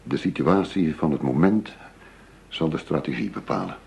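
An actor playing a shrewd, businesslike undercover negotiator posing as a money dealer in a radio drama. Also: male voice, very deep voice, animated, gravelly, a little mellow, husky, full character voice. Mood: businesslike, guarded. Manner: measured, businesslike, formal.

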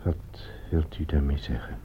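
Nervous stammery addict, suspicious and touchy, old radio play, bit of hiss, dull sound. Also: male voice, rumbling low, fairly even intonation, a little slow. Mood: wary, defensive. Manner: hesitant, stammering, wary. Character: nervous, suspicious male morphine addict, easily offended. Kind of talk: Dutch radio play acting. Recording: muffled, noisy old radio play recording.

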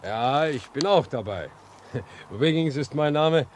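An actor in a German radio drama playing a folksy, helpful railway line inspector who likes to chat and caution. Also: male voice, fairly high pitch, lively intonation, slow pace. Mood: folksy, obliging. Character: chatty, obliging railway line inspector guiding a stranger. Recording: noisy old radio play recording.

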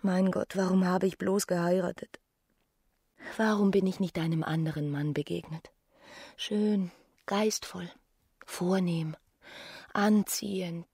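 An actress playing a disillusioned, yearning young wife in a radio drama, brooding and disdainful. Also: female voice, fairly low pitch, fairly even intonation, slow, long pauses, warm tone, breathy edge, no echo, clean studio sound, full character voice. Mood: regretful yearning. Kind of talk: German literary radio drama monologue.

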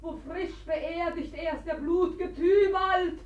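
An actress playing an overwrought tragic Shakespearean reciter, declaiming melodramatically on stage in a 1950s radio play. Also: female voice, very high-pitched, steady tone, slow, muffled recording. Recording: echoey hall, far from mic.

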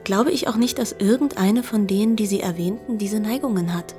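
A woman's voice: mid-range voice, fairly quick, warm tone, faint music behind, close to an everyday voice. A woman acting a guarded young woman in a literary radio drama, formal, articulate and firm in her denials. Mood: defensive, firm.

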